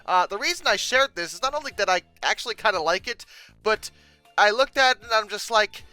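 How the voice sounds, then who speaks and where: A video host giving comedic commentary on pictures with theatrical mock exasperation, swinging between deadpan asides and outbursts. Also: medium pitch, a little fast, no room sound, faint music behind, poor audio.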